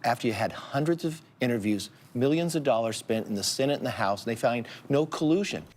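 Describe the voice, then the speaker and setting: Male political commentator, American, mid pitch, animated and argumentative. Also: fairly quick. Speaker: political interviewee.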